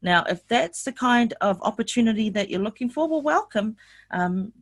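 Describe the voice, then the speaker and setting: A woman's voice: a little high, expressive, moderate pace, no echo, studio quality. An older woman from New Zealand presenting an online sales webinar, upbeat and asking her audience lots of questions.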